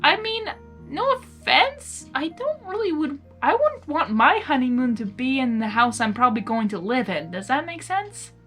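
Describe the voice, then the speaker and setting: A woman doing a casual read-aloud with commentary, expressive and often breaking off into exclaiming asides. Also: sits high, moderate pace, soft background music. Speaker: reader of fan fiction, reacting as she goes.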